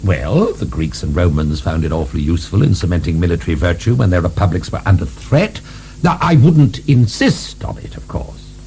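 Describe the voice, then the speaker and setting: An aristocratic libertine philosopher, urbane and mock-deferential. His mellow voice swings through lively inflections as he provokes with dry, polite irony. It is radio drama, with a faint hum behind it. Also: low-pitched, moderate pace. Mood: blandly provocative. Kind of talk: British radio drama acting.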